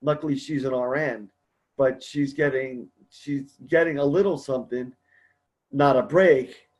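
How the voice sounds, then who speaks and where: A man's voice: mid-range voice, measured pace, darkish tone. A man speaking casually as host of a support group conference call, earnest, encouraging and a bit rambling.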